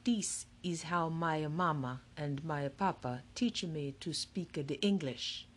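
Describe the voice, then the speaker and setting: Role: woman reading as a whimsical older male game inventor, crisp rapid patter, theatrical storybook showman. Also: medium pitch, big pitch swings. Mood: timid, soft. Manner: starts timid and slow, then crisp and rapid-fire.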